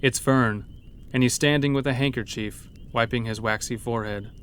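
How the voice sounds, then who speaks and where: A man's voice: mid-range voice, fairly even intonation, moderate pace, a bit bright, a little hiss or hum. A man narrating a scripted first-person horror story in a dramatic storytelling manner. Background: background music.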